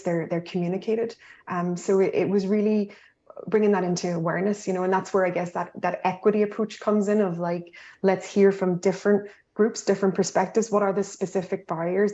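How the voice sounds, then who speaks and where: A woman's voice: mid-range voice, dark tone, lo-fi sound. A woman on a panel answering questions in an earnest way, with many restarts and fillers.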